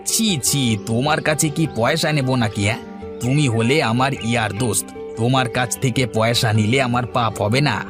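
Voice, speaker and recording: A man's voice: medium pitch, moderate pace, music in the background, natural voice. A man voicing a crafty, self-important village advisor in a cartoon, boastful and cajoling, with rhetorical questions. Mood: sly, explaining. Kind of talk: cartoon voice acting.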